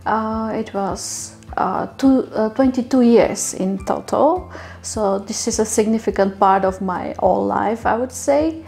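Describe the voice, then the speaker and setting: A young woman from Bulgaria who grew up in Turkey, answering interview questions slowly with many fillers and restarts. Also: mid-range voice, vocal fry, mellow. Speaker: interviewee, literary agent.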